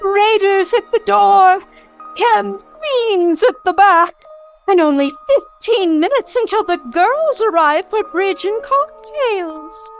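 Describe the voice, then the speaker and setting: Very high-pitched fretful housewife. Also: female voice, animated, slow pace, soft background music, heavily acted. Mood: theatrical dismay. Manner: theatrical, breathless dismay. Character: fretful, dainty society housewife in comic peril. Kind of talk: 1940s radio melodrama acting.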